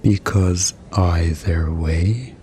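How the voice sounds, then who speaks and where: A man performing a hypnosis ASMR roleplay as a hypnotist, speaking in a soothing, deliberate, hypnotic way with pauses. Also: deep voice, mellow, slight background noise.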